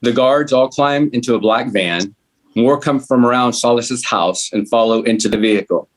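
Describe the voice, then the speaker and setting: A man reading a screenplay's scene headings and action lines as narrator at a table read, in a measured, plain way. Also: mid-range voice. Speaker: stage directions reader.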